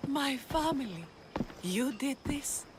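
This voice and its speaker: High-voiced, emotive mother. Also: expressive, slow, background noise. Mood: overjoyed. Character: devoted, grateful mother of a kidnapped girl. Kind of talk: video game cutscene acting.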